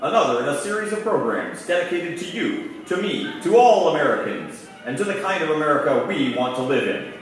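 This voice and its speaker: A man's voice: a little high, very animated, brisk, hardly any pauses, far from the mic, faint music behind. A man giving scripted announcements in the style of a 1940s radio show. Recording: echoey stage from afar, faint noise.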